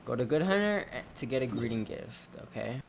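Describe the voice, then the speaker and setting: A man's voice: mid-range voice, sing-song, brisk, gravelly, noisy background. A man hosting a gaming video, giving casual reaction commentary.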